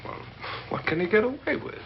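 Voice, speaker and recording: An actor playing an easygoing, skeptical pilot in a 1950s horror film, talking in a casual, hesitant way. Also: male voice, high-pitched, big pitch swings, fairly quick. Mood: puzzled, concerned. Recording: muffled vintage film soundtrack, faint noise.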